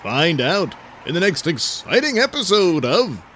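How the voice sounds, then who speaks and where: Theatrical male radio serial announcer, very animated. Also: sits high, a little slow, a little hiss or hum. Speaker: narrator and announcer. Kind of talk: melodramatic radio serial narration.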